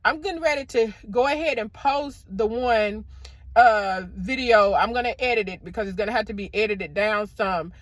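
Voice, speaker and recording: A middle-aged woman with a loud, deep speaking voice, giving casual motivational talk to camera on a clip-on mic and calling her viewers y'all. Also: moderate pace, no echo. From American South. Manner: warm preacherly encouragement, addresses viewers as y'all. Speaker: motivational vlogger. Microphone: clip-on microphone.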